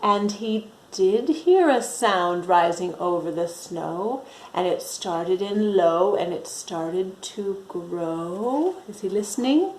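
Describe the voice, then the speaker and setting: A woman reading a storybook aloud to young children with expressive, dramatic emphasis and chatty asides. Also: medium pitch, takes it slowly, hardly any pauses, reverberant, a little hiss or hum, rough recording. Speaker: storytime reader.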